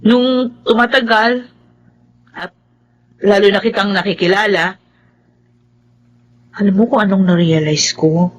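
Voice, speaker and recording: An actress plays a principled female friend in a Filipino radio drama. Her medium-pitched voice is frank yet caring. She delivers moral advice earnestly, in measured phrases. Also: fairly even intonation, lo-fi sound, lightly acted. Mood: frank, careful.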